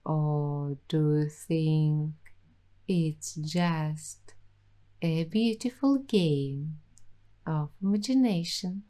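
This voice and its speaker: Female ASMR host, whispery and soft, slightly low voice, dreamy and intimate delivery. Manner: slow, dreamy, intimate, addressing the listener directly. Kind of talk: soft-spoken ASMR roleplay.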